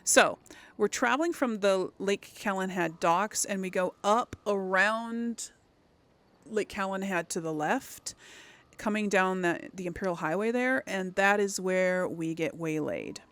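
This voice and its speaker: A woman's voice: medium pitch, measured pace, a bit bright. A woman giving casual let's play commentary as host of a gaming series, chatty and thinking aloud as she plays. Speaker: host of a role-play video game series.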